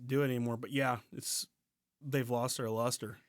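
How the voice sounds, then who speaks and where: A man co-hosting a podcast, chatting informally in a halting, conversational way. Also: mid-range voice, fairly even intonation, no echo, clean studio sound. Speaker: podcast co-host. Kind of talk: casual podcast chat. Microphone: close podcast mic on remote recording.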